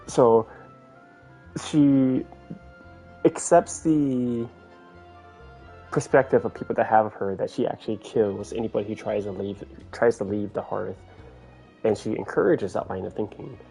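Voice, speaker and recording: A man with a lowish voice streams a game with casual commentary. He thinks aloud in a halting way, with faint music playing behind him. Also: vocal fry, mellow. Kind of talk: casual play-along commentary, reading game lines aloud.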